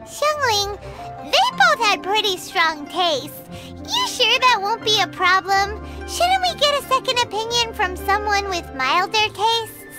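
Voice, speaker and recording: A woman voicing a tiny, blunt and inquisitive floating companion in a video game, with a squeaky, cutesy childlike voice. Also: big pitch swings, measured pace, full character voice. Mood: concerned. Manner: speaks of herself in third person, cutesy. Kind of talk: video game cutscene acting. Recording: loud music behind the voice.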